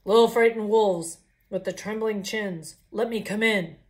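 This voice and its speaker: A woman voicing a big bad male pig who makes loud, blustering, menacing demands. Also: mid-range voice, measured pace, lightly acted.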